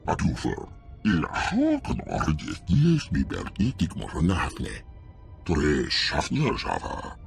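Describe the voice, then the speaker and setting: An actor voicing an alien warrior in a video game, declaiming gutturally in an invented language. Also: male voice, a bass voice, huge pitch swings, takes it slowly, creaky, faint music behind, exaggerated voice. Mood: solemn challenge. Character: deep-voiced alien male warrior speaking an invented tongue.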